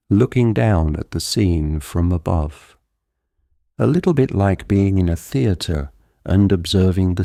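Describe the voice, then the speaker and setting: Deep, slow, soothing male meditation guide. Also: vocal fry, no room sound, studio quality. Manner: gentle, calm, deliberate, measured delivery. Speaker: guided visualisation narrator.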